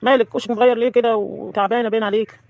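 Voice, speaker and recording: A performer voicing a devoted adult son with an Egyptian accent who soothes his worried mother in brisk, reassuring words. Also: medium pitch, steady tone, creaky, no room sound, muffled recording, close to an everyday voice. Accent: Egyptian Arabic. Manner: brisk, soothing, calls her "lady of all".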